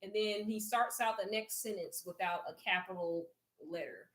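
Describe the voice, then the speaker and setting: A woman's voice: medium pitch, moderate pace, fairly bright tone, rough recording. A woman reading a book aloud in a casual talk and picking apart its wording. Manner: reads passages aloud then picks them apart. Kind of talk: casual critical commentary.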